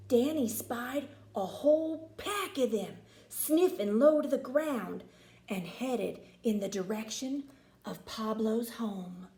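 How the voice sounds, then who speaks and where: Female storybook reader: theatrical, very animated intonation, unhurried pace, roomy recording. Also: a little high. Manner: expressive, theatrical. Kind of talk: dramatic children's storybook narration.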